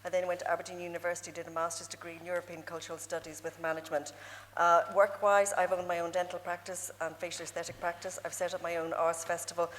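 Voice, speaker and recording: Woman from ireland at election hustings, quick flat delivery, lowish voice, echoey hall. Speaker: election candidate. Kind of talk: hustings speech, listing qualifications. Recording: echoey hall, faint background noise.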